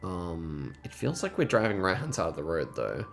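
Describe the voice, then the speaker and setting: A man's voice: sits low, wildly swooping pitch, normal speed, gravelly, slight background noise. A man giving casual, thinking-aloud gameplay commentary, musing and rambling hesitantly as he goes. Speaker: host of geography quiz gaming videos.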